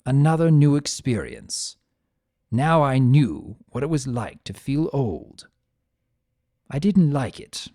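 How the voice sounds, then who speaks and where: Trapped chaos spirit, read by a performer: mellow, wry, theatrical first-person narrator, animated melody. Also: medium pitch, slow, dry recording, clean studio sound. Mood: rueful. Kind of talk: first-person dramatic storytelling.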